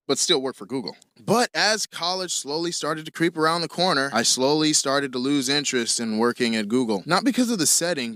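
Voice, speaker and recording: A man's voice: on the high side, bright, forceful tone, dry recording, studio quality. A young man narrating his own life story in a casual, joking, self-mocking way, as a scripted storytime. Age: young adult.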